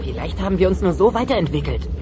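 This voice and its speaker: High-voiced teenage dinosaur boy. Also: very animated, fast, music in the background. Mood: earnest. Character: defiant but affectionate teenage dinosaur son. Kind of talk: German-dubbed sitcom radio play. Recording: dull cassette transfer.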